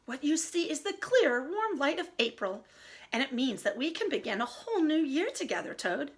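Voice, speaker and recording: Woman voicing an excitable high-pitched storybook frog. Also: normal speed. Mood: bright coaxing. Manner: excited, exclamatory, coaxing. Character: cheerful eager male frog, calling out to his friend.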